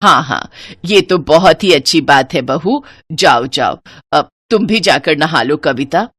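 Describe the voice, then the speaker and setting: A woman's voice: low-pitched, animated, unhurried, fairly bright tone, slightly rough, no room sound, studio quality, voice pushed down, heavily acted. A woman voicing a kindly, hearty older mother-in-law in a moral story, repeating words as she speaks. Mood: welcoming. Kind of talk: Hindi moral story character acting.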